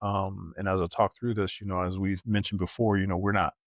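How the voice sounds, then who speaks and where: A middle-aged man co-hosting a podcast in casual, reflective conversation, thoughtful and halting, often checking in with a questioning tag. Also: deep voice, flat delivery, no room sound, highs cut off. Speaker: podcast co-host.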